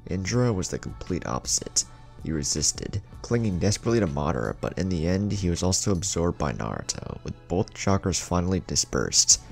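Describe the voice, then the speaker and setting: A man with a gravelly voice reading a dramatic scripted story as its narrator. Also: sits low, very animated, brisk. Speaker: fan fiction narrator. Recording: music under the voice. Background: background music.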